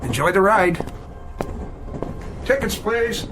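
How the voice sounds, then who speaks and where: An actor playing a chatty, courteous train conductor who calls out cheerfully in a radio drama. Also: male voice, sits high, lively intonation, takes it slowly, music in the background. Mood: genial. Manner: cheerful, calling out.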